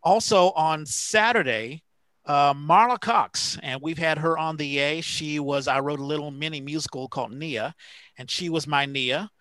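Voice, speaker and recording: A man co-hosting a casual talk show, reading out shout-outs and announcements in a halting way as he searches for details. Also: on the high side, no echo. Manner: halting, pausing to find names and details. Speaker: podcast co-host.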